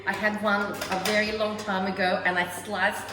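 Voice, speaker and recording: A woman's voice: mid-range voice, little pitch movement, background noise. Chatty woman host in an echoey room. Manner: chatty, quick short acknowledgements. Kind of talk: interviewing a cooking guest. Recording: echoey, far from the mic, music behind.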